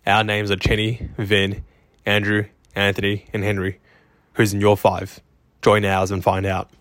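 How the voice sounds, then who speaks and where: Flat, measured man reading an intro. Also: lowish voice. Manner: measured, even delivery. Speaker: podcast intro narrator. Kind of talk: scripted podcast intro.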